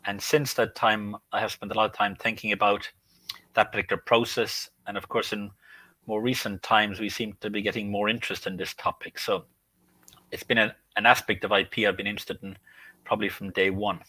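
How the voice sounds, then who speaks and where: Bright, dry-sounding man from the UK. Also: lowish voice, flat delivery, dry recording, low-quality recording. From United Kingdom. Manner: measured, explanatory. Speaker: guest, IP consultant. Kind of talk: interview answers. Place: home.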